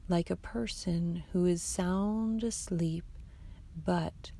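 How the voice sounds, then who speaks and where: A woman guiding a meditation in a soft, soothing, hushed voice that turns breathy at times, with unhurried instructions and long pauses. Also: on the low side. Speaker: yoga nidra guide, host of the episodes. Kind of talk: guided meditation narration.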